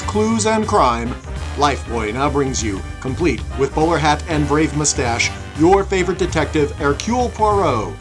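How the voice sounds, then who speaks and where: A man's voice: on the high side, husky. A man announcing a scripted program in a grandiose, formal, dramatic 1940s radio style. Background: music.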